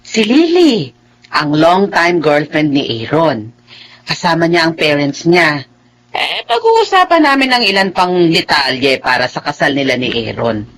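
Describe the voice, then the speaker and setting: Low-voiced Filipino mistress of the house. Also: female voice, sing-song, measured pace, fairly bright tone, a little hiss or hum, exaggerated voice. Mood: pleased. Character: trusting, motherly lady of the house, middle-aged. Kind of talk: Filipino radio drama acting.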